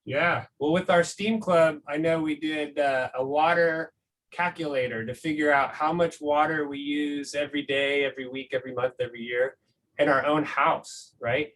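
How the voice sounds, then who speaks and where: A man's voice: on the high side, fairly even intonation, normal speed, a bit bright. Man reading to families, noisy recording. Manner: encouraging, conversational, often checks in with "right?". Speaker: school reader addressing families. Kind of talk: picture-book read-aloud with casual commentary. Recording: noticeable background noise.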